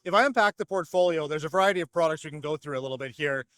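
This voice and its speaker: A man's voice: a little high, rapid-fire, fairly bright tone, dry recording, studio quality. A man giving a fluent, structured product explanation as a company representative in an interview. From North America. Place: trade show exhibition hall.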